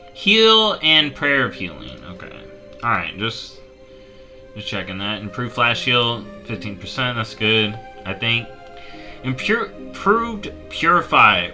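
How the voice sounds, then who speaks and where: A man in his thirties talks into a headset mic, bright and forceful in tone. He reads game text aloud and jokes about it with playful mock outrage. Faint music plays underneath. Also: medium pitch. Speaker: streamer. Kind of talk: reading and reacting to game talents on a live stream. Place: small dark room at home.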